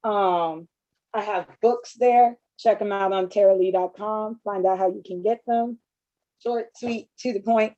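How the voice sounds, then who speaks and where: A woman with a worn, hoarse voice reads her poem slowly and calmly over a video call. Her tone is dark and mellow, and the sound is a bit dull and lo-fi. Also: medium pitch. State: voice going hoarse. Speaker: poet. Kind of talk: reading her own poem.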